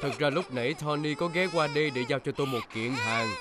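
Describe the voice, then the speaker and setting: An actor voicing a gentle, patient father in a cartoon dub. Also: mid-range voice, fast, very noisy, natural voice. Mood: worried. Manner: warm, patient. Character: gentle father of a little boy.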